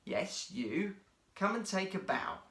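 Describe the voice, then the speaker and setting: A man's voice: sits high, very animated, slow, fairly bright tone, echoey room, voice pushed up, exaggerated voice. A man voicing a jovial zookeeper who presents a seal show in a children's storybook, addressing animals and audience. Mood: commanding, jovial.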